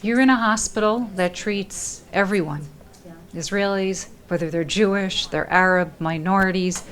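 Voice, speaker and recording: Direct woman interviewer, mid-pitched. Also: a bit bright. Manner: direct, brisk questioning. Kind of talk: interview questions.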